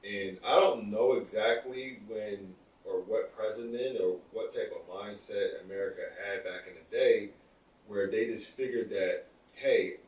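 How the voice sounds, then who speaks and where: A man in his thirties co-hosting a podcast, rambling through his opinions in casual chat. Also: lowish voice. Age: 30s. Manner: rambling, lots of "like" and restarts. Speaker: podcast co-host. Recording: echoey room, far from the mic.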